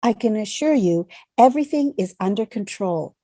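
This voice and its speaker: A woman playing a polite, controlled hostess calming passengers in an amateur drama table read, formal and placating. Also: mid-range voice, moderate pace, no room sound, studio quality, lightly acted. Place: online video call table read.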